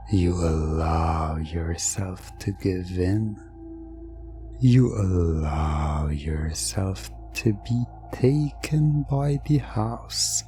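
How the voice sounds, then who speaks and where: A man delivering scripted hypnosis roleplay narration in an ASMR style, soothing and suggestive, with long pauses. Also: on the low side.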